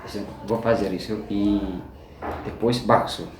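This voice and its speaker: A young man from Indonesia with an Indonesian accent, speaking Portuguese as a second language in halting casual interview answers, often laughing. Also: fairly low pitch, fairly even intonation. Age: young adult. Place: indoor room at a migrant center. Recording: echoey room, far from mic, noisy.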